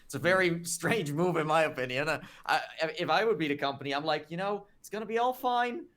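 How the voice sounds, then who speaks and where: A man's voice: a little high, expressive, a little fast, fairly bright tone. A man with a Dutch accent hosting a podcast in casual chat, loose and rambling, with many fillers and restarts. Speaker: podcast host.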